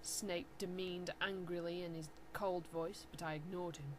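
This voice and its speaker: A woman's voice: fairly low pitch, fairly even intonation, unhurried, slight background noise. A woman giving a theatrical, mock-serious dramatic reading of fan fiction as narrator. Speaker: reader.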